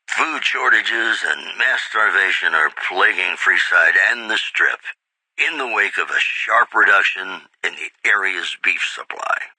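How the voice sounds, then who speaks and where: An actor playing a suave radio DJ who reads wasteland news in a polished, measured announcer style for a video game. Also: male voice, fairly low pitch, sharp tone, no room sound, exaggerated voice, poor audio. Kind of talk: scripted radio news bulletin.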